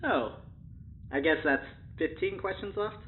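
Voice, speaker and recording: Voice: mid-range voice, lively intonation, a little slow, phone-quality sound, slight background noise, natural voice. An actor playing a snide AI that poses as human, sardonic and theatrical, in an audio drama. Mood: coy, dry. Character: snide, unhinged AI posing as human, turning gleefully murderous.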